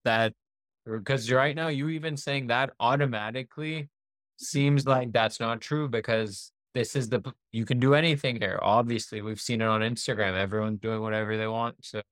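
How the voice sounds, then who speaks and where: A man's voice: medium pitch, steady tone, moderate pace, a bit bright, dry recording. Male podcast host, clean resonant tone, dry recording, loose conversational delivery with meandering, roundabout questions. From born in the US, raised in Pakistan. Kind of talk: casual conversational interviewing.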